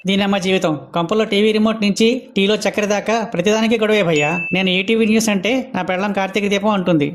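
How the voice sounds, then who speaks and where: A man plays a cheeky, grumbling drunkard for a comedy cartoon. The voice sits high and runs on quickly with little melodic swing. He brags and complains in street slang. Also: a little hiss or hum, lightly acted. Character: cheeky good-for-nothing Telugu drunkard, boastful and grumbling. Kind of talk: comic cartoon dialogue.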